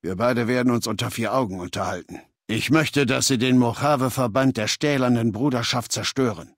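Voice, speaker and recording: An actor playing a commanding, ruthless middle-aged warlord in a video game, authoritative and deliberate, with a gravelly, husky voice. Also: male voice, medium pitch, no room sound, clean studio sound, lightly acted. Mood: stern, authoritative. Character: commanding, ruthless male warlord, leader of a legion. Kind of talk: dramatic video game voice acting, German dub. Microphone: close, dry studio recording.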